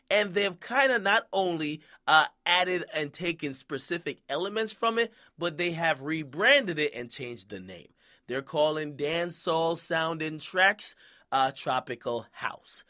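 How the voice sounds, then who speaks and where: A man's voice: a little high, pushed and bright. A man from Jamaica hosting casual commentary, who keeps checking in with "okay?" and "right?" and acts out quoted voices. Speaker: commentary host.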